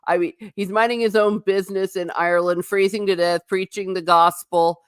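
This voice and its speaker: A woman runs a casual livestream, reading out her chat and answering it in a wry, rambling way. Her voice sits at an ordinary middle pitch, and she restarts her sentences often. It is recorded dry and close, with no room sound. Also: steady tone, normal speed, clear, resonant tone, no echo. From United States. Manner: chatty, wry and tangential, with frequent restarts.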